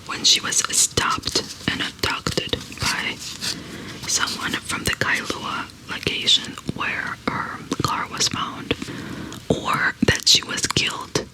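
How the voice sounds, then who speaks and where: A woman in her thirties who hosts ASMR videos, softly whispering a true crime story while she crochets, close to a studio mic. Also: slow pace, low-quality recording. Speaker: ASMR host. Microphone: large studio condenser mic close to her face.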